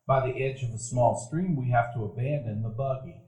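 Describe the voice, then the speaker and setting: A man narrating a live radio play calmly, as a grown man recalling his boyhood. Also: medium pitch, normal speed, close to an everyday voice. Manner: calm, reminiscent storytelling. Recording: roomy live stage recording.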